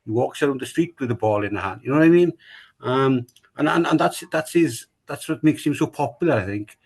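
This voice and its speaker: A man's voice: medium pitch, animated, a little fast, darkish tone, breathy edge. A man with a Welsh accent, a rugby club chairman, talking casually on a podcast, rambling and repeating himself with many fillers.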